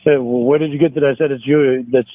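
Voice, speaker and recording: Over a thin, tinny phone line with no room sound, a man argues defensively. He speaks at a medium pitch and halts and repeats himself as he goes. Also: steady tone, fairly quick, no room sound. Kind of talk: phone argument. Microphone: phone call.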